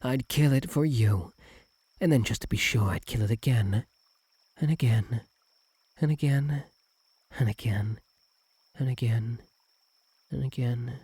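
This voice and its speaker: A man voicing a doting, possessive fox-spirit husband in an intimate ASMR roleplay, soft and breathy, often slipping into whispers, tender and clingy. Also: medium pitch, a little slow, pauses often, dry recording. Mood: obsessive, menacing. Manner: tender, clingy, hesitant, lingering on words.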